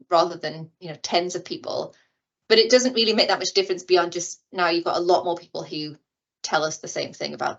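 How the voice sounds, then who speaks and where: Low-voiced woman explaining over a call. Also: a bit bright. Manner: explanatory, with many fillers like "you know" and "kind of". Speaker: invited expert. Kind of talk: online seminar explanation. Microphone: video call. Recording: muffled video-call audio.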